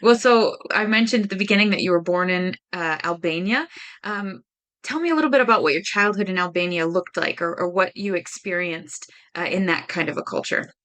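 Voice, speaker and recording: A woman's voice: fairly low pitch, a little fast, fairly bright tone. A middle-aged woman hosting a conversational podcast interview.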